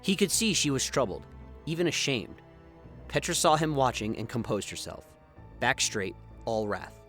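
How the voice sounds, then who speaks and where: A man's voice: mid-range voice, very animated, normal speed, fairly bright tone, faint music behind. A man reading dramatic scripted narration for a sci-fi radio play, as the storyteller.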